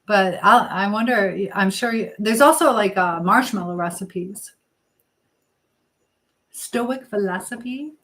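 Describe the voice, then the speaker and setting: A woman's voice: medium pitch, normal speed, few pauses, fairly bright tone. A woman hosting a casual live chat, rambling and trailing off mid-thought as she talks to viewers and reads their comments. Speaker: live stream host.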